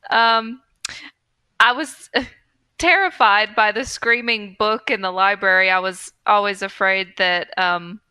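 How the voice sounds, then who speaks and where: A woman's voice: medium pitch, steady tone, slow pace, pushed and bright, clean tone, no room sound. A woman co-hosting a podcast in a casual, chatty style. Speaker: podcast co-host.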